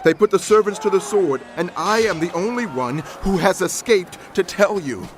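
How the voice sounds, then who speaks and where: An actor playing an alarmed servant reporting an attack in a dramatized audio Bible, urgent and agitated. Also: male voice, sits high, lively intonation, normal speed, noisy background.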